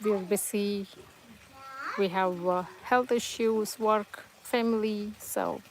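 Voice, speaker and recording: A woman's voice: mid-range voice, fairly even intonation, slow pace, a little hiss or hum. A woman whose first language is Tamil hosts a casual livestream at home, reading viewers' comments and chatting in a hesitant, reassuring way with many fillers and restarts. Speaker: livestream host. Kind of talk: casual live-chat monologue, reading comments.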